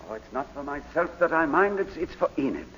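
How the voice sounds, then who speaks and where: An actor playing an anguished, edgy baronet in a 1940s radio drama, strained and stammering when agitated. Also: male voice, medium pitch, very animated, fairly quick, slightly rough. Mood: agitated, weary. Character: anguished, edgy English baronet accused of imposture. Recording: muffled old 1940s radio recording.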